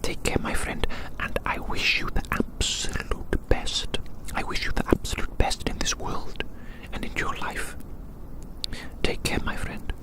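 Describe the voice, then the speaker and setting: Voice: measured pace, lightly acted, low-quality recording. A whispering ASMR performer playing a fussy, curious male naturalist, repeating phrases in quaint, old-fashioned wording. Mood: fond farewell. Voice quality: breathy unvoiced whisper.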